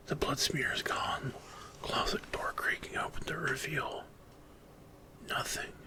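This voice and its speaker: Slow, whispering male ASMR storyteller. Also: medium pitch, poor audio. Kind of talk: whispered ASMR fantasy horror narration.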